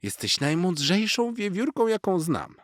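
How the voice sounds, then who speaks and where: A man's voice: high voice, very animated, measured pace, no room sound, clean studio sound, pitched above the performer's own voice. A man voicing a very young male cat from a children's story, proud, boastful and easily offended. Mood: humbled, sheepish. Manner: boastful, indignant.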